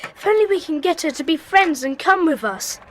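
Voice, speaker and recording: A woman playing a decisive, bossy schoolboy who leads child detectives in a children's audio drama, brisk and commanding. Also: very high-pitched, animated, fairly bright tone, a little hiss or hum. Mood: urgent.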